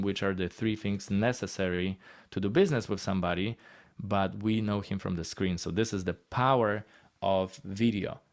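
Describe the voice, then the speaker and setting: Encouraging man with a Polish accent. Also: on the low side. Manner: encouraging, conversational, many short phrases and fillers. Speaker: guest speaker on healthcare marketing. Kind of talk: casual presentation.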